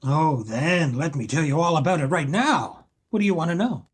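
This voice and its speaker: A man's voice: fairly high pitch, animated, normal speed, slightly rough. An actor playing a courteous, charming man on the run, guarded and a little hesitant, in an audio play.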